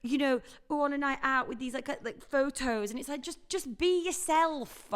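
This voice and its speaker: Higher-pitched woman co-host, emphatic and filler-heavy, stuffed up with a cold. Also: normal speed. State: snotty with a cold. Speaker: podcast co-host. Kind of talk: casual chat.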